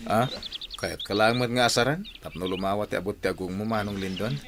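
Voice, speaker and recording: A man's voice: on the low side, brisk, a bit bright. A man playing a deferential younger suitor in an Ilocano-accented radio drama. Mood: deferential, teasing. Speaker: radio drama actor and narrator. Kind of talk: Philippine radio drama acting. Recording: music playing behind the voice. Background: music.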